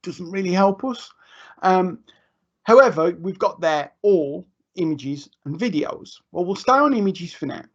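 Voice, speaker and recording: A man giving a relaxed, informal live software tutorial to teachers, with frequent ums and restarts. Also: sits high, a little slow. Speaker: education technology trainer. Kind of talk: live screen-share tutorial. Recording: muffled lo-fi online stream audio.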